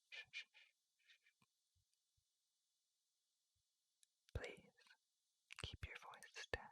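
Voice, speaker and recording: A woman voicing a gentle, shy young male naga in an ASMR roleplay, speaking in a soft, hesitant, breathy whisper. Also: extremely low pitch, no echo, studio quality, heavily acted. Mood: cautious, hushed. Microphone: close microphone, dry.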